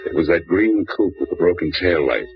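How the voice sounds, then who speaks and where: Deep-voiced wisecracking hard-boiled detective. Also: male voice, lively intonation, moderate pace, soft background music. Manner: dry, wisecracking, clipped delivery. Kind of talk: 1940s radio drama narration. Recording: muffled old radio broadcast.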